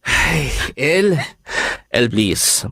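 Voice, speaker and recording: An actor playing an evasive, commitment-shy casual lover in a Filipino radio drama, dismissive and exasperated. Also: male voice, mid-range voice, sing-song, extremely slow, vocal fry, pushed and bright, husky, full character voice.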